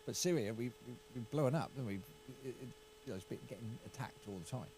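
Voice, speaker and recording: A middle-aged English man with an English accent, a county councillor talking through a political discussion in a halting way, repeating words and hedging. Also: medium pitch, a little mellow, a little hiss or hum, poor audio.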